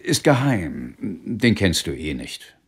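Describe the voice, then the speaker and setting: An actor playing an affable London police inspector and ghost hunter in a naturalistic radio play. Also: male voice, low voice, very animated, takes it slowly, slightly rough, full character voice. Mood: evasive, affectionate.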